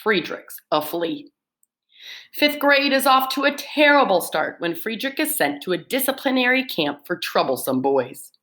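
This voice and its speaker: Woman teacher reading to students, animated and expressive, in a middle pitch. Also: moderate pace. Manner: lively, expressive read-aloud with swooping pitch. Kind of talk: reading book summaries aloud to students.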